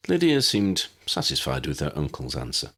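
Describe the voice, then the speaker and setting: A man's voice: rumbling low, huge pitch swings, moderate pace, a bit bright, slightly rough. A man reading a story aloud as a scripted audiobook narration.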